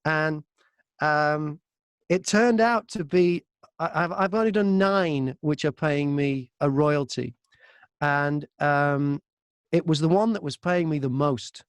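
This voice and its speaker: A man chatting casually in an interview as a narrator, telling stories in an animated, talkative way from inside a wardrobe booth. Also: a little high, slow pace, clean tone, dry recording. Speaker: audiobook narrator and voice-over artist, interview guest.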